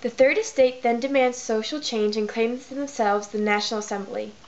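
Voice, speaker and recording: High-voiced teenage girl narrating, muffled recording. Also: moderate pace. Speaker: student narrator. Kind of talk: scripted history narration. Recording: dull, faintly noisy amateur recording.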